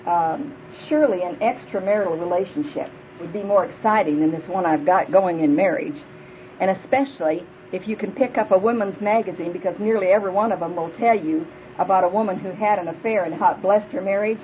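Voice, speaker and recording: A woman in her fifties with a big voice, preaching earnestly to a women's church gathering and leading prayer. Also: medium pitch. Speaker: speaker at a women's church retreat. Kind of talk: sermon. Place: hall before a live audience. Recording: dull lo-fi live recording with faint noise.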